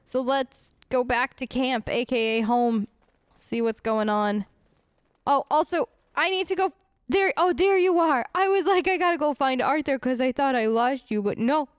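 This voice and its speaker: High-voiced, playful woman gamer. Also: moderate pace, smooth, dry recording, studio quality. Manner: playful, informal, chatty. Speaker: gaming host. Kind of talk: in-character role-play let's play commentary.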